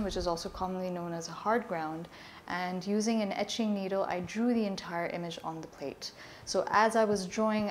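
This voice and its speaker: A woman from Pakistan giving a measured, explanatory presentation of her artwork at a gallery talk. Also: fairly low pitch, slight background noise. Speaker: artist presenting her work. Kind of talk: prepared presentation. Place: gallery talk space, indoors.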